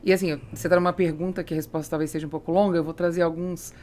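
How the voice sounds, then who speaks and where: A woman's voice: fairly low pitch, steady tone, very fast, mellow. A woman with a Brazilian accent hosting a conversational business interview. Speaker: podcast host interviewing a guest.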